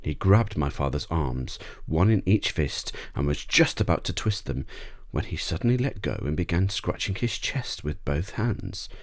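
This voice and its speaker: A man's voice: extremely low pitch, very animated, moderate pace, no echo. A man narrating a children's bedtime story as a scripted ASMR audiobook, close to the microphone.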